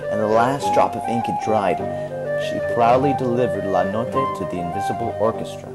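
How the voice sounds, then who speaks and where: A man reading a children's story aloud in an animated, dramatic storytelling manner. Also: on the low side, normal speed, loud music behind the voice, low-quality recording. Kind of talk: expressive read-aloud narration with music.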